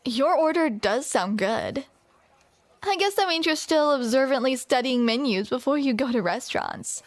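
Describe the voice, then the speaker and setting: A woman's voice: sits high, animated, moderate pace, dry recording. A woman performing a scripted romantic audio roleplay, with playful, teasing banter, close to the microphone.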